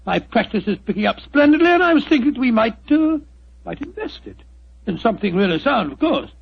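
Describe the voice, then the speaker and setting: A genial, fussy older doctor who blusters, stammers and chuckles. His voice is high for a man, gravelly and husky. It comes through a dull, muffled 1940s radio recording. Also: big pitch swings, a little fast, heavily acted. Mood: flustered. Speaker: narrator of the tale within the play.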